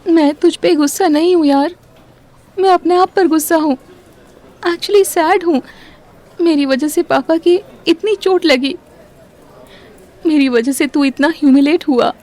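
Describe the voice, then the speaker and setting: A woman's voice: sits high, measured pace, long pauses, a little mellow. A woman playing an upset, guilt-ridden young girlfriend in an audio drama. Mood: sad, self-blaming.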